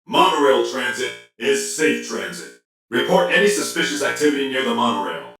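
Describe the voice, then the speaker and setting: A man's voice: mid-range voice, expressive, moderate pace. A man playing a stern, officious robot policeman in a video game, speaking in clipped formal announcements through robotic processing. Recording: echoing, distant, music underneath.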